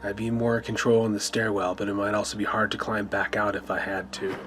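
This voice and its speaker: A man reading scripted first-person narration for a horror radio play in a measured storytelling manner. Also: lowish voice, flat delivery, fairly bright tone. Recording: music bed under narration.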